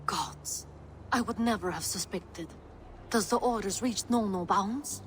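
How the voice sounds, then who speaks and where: A woman's voice: mid-range voice, measured pace, close to an everyday voice. A woman playing a composed, authoritative Viking-age leader in a video game, serious and businesslike. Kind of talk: video game dramatic acting. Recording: clear background ambience noise.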